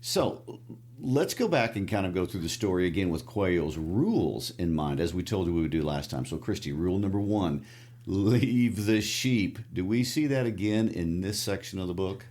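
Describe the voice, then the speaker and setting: A man with a Midwestern accent, co-hosting a casual book discussion podcast and explaining the story in a relaxed way. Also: low voice, moderate pace. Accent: Midwestern American. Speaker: podcast co-host.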